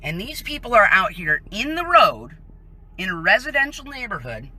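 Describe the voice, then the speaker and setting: A woman's voice: mid-range voice, sing-song, moderate pace, bright, forceful tone, slightly rough. An American woman giving emphatic, indignant live commentary from inside a vehicle, leaning on rhetorical questions and pointed statements. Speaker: citizen filming a protest from a vehicle. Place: inside a vehicle on a residential street.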